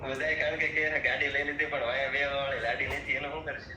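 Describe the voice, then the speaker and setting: A man's voice: medium pitch, flat delivery, quick pace, bright, forceful tone. A man with a Saurashtra Gujarati accent grumbling in casual, plain-spoken phone chat. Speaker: prank call victim on the phone. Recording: distant echoey phone call, crowd noise behind.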